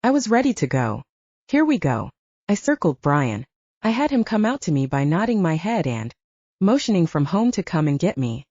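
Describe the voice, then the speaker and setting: A woman's voice: lowish voice, warm tone, no echo. A woman narrating a scripted first-person story as an audiobook narrator, in a slightly dull recording.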